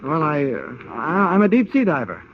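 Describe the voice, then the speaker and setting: An actor playing an eager, quick-witted newspaper reporter hero in 1940s radio drama style. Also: male voice, fairly high pitch, wildly swooping pitch, a little hiss or hum. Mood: steady, bluffing. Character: eager, resourceful male newspaper reporter, mild-mannered hero. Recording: muffled 1940 radio recording.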